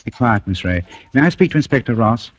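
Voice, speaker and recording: An actor in a radio thriller playing a suave, cunning gentleman, coolly menacing, with measured, formal, ironically polite speech. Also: medium pitch, expressive, natural voice. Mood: controlled, businesslike. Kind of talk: 1940s radio thriller acting. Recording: noisy old radio drama recording.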